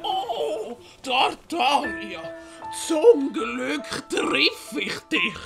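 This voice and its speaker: Woman acting fussy old merchant man, very creaky, drawn-out pace, children's audio play, fretful. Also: sits high, big pitch swings, over music, full character voice. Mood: worried, frantic.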